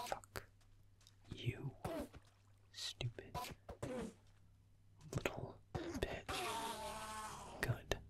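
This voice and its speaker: A man playing a grumpy, foul-mouthed young werewolf boyfriend, muttering sullenly in a breathy, gravelly whisper in an intimate roleplay audio. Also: on the low side, dry recording, heavily acted. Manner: sullen muttering, swearing, sarcastic.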